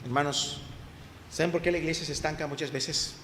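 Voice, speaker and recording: A man's voice: on the high side, fairly even intonation, a little fast, a bit bright, a little hiss or hum. A man preaching a sermon to his congregation, asking them questions and raising his voice for emphasis. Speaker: pastor. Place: church hall. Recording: echoey church hall, faint ambience.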